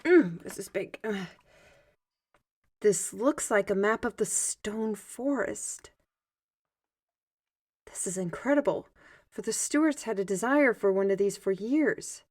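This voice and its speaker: A woman playing a young, learned squire in an audio roleplay, explaining things in an animated, chatty manner. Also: mid-range voice, slow, pauses often. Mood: eager.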